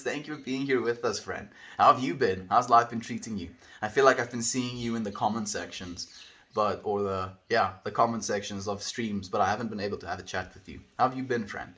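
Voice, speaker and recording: A man's voice: lowish voice, a bit bright. A man with a South African accent, a live streamer chatting casually with his viewers and rambling through musings while calling them dude and friend. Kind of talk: casual livestream chat reading viewer comments.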